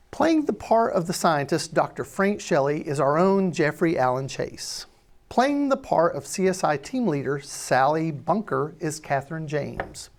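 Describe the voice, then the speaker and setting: A woman's voice: low-pitched, a little mellow. A woman presenting a screenplay table read with a scripted introduction, introducing cast and questions.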